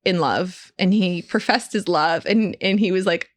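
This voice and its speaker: A woman's voice: on the low side, measured pace, no room sound. A woman in her thirties, a comedian guest, chatting casually and emphatically, acting out quoted dialogue as she tells stories. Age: late 30s. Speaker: stand-up comedian, podcast guest. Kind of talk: casual chat, storytelling.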